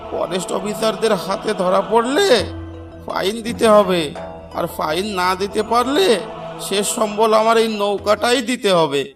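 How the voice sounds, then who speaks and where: A man's voice: sits high, moderate pace. A man voicing a frightened, weary middle-aged fisherman father in a cartoon, fretful and pleading. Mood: fearful complaining. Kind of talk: Bengali cartoon voice acting. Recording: clear background music under voice.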